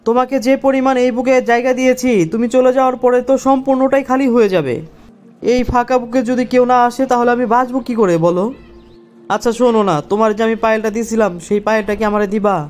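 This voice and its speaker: An unusually high-pitched, jilted cartoon boyfriend acted by a man with a Bangladeshi accent. He rattles along quickly, plaintive and pleading, with petty grievances. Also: steady tone, a little mellow. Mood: mournful. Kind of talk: cartoon comedy dialogue.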